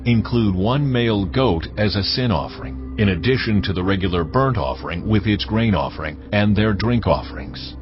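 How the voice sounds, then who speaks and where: A man performing a solemn lawgiver who dictates sacred ritual commands in measured, formal phrasing for a dramatized audio Bible. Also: lowish voice, lively intonation, lightly acted. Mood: solemn, commanding. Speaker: scripture reader. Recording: dull lo-fi audio, prominent music behind. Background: loud background music.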